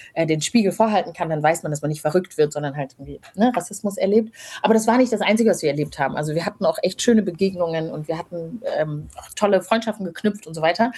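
A woman's voice: lowish voice, darkish tone, husky. A woman in her thirties telling personal stories in an informal, reflective, chatty way as an interview guest. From born in Rwanda, grew up from age ten in small-town Germany. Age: 30s. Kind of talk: casual conversational storytelling.

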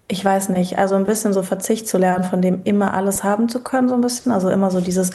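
A woman in her thirties from Berlin, a guest talking in a relaxed, reflective way with many pauses and fillers. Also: mid-range voice. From Berlin, Germany. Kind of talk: casual interview chat.

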